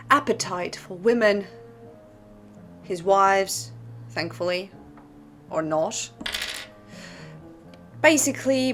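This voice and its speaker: A woman hosting a commentary on disturbing books, speaking in a dramatic, conversational way straight to the viewer with rhetorical questions. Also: on the low side. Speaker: host of a disturbing literature channel. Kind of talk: casual scripted commentary to camera.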